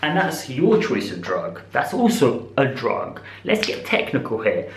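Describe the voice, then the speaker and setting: British guy in his 20s rambling to camera like a vlog, normal pitch, slangy, echoey room. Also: huge pitch swings, a little slow, creaky, slight background noise. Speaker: vlogger. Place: small indoor room with bare walls. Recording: echoey, roomy sound.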